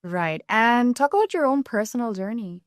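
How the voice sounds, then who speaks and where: A woman hosting a podcast, asking soft, unhurried questions. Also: a little high, animated, no echo, studio quality. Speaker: podcast host. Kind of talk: interview questions.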